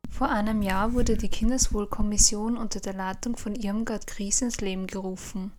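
A woman's voice: mid-range voice, steady tone, normal speed, darkish tone, soft background music. A woman reading scripted news narration as a radio reporter.

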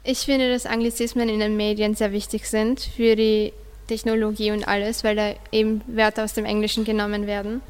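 A woman's voice: medium pitch, little pitch movement, a little fast, clear, resonant tone. A female teenage student giving her opinion on a radio panel. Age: teens. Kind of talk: radio discussion. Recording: long hall-like reverb.

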